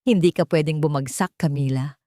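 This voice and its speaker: A woman's voice: low voice, brisk, mellow, no room sound, clean studio sound, close to an everyday voice. Sugary, scheming fiancée, voiced by a woman narrator. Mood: haughty, ambitious. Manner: sugary, evasive, secretly haughty. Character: Camila, sweet-faced scheming ambitious young fiancée.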